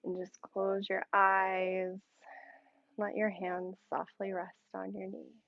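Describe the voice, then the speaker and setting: Slow, low-key woman guiding meditation, phone-like sound. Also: creaky, no room sound. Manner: calm, soothing, with long pauses between short phrases. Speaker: yoga instructor. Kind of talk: guided meditation in a yin yoga class.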